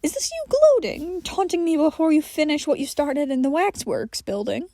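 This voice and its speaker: A woman's voice: high-pitched, very animated, moderate pace, mellow, dry recording, studio quality, voice pushed up. A woman voicing a wary, exasperated man in an audio story, stammering and irritable. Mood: frustrated. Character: wary, exasperated, flustered man named John.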